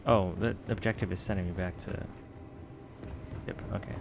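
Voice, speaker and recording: A man's voice: deep voice, fairly even intonation, normal speed, vocal fry, background noise. A man giving casual, joking commentary while playing a video game, often muttering to himself. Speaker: gameplay streamer.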